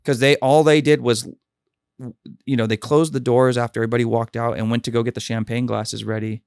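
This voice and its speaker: A man hosting a casual podcast chat about weddings as a DJ, telling lively stories and acting out what people said. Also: medium pitch, a little fast, creaky, clear, resonant tone, dry recording, clean studio sound. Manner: animated storyteller, acts out quotes.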